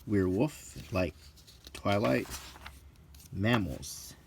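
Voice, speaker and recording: Slow-talking, low-voiced middle-aged man, casual commentary. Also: gravelly, slight background noise. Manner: halting, item-by-item listing with offhand opinions. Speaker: presenter of a hobby video. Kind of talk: unscripted casual commentary while leafing through books, unintentional ASMR.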